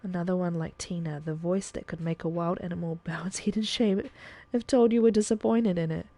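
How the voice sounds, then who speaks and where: Gravelly-voiced woman streamer chatting. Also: on the low side, fairly even intonation, fairly quick, dry recording. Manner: chatty, thinking aloud, soft asides. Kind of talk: casual gameplay commentary.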